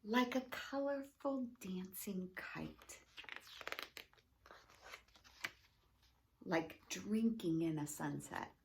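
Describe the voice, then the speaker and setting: An earnest little storybook girl, read by a woman, speaks tenderly about feelings. Her phrasing is gentle, deliberate and lyrical. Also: on the low side, creaky, slight background noise, full character voice. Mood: tender, wondering.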